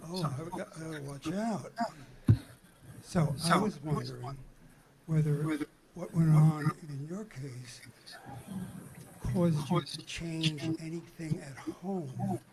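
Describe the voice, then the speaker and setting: Slow higher-voiced man, noisy recording. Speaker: speaker posing a question from personal experience. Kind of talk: unscripted talk at a public gathering.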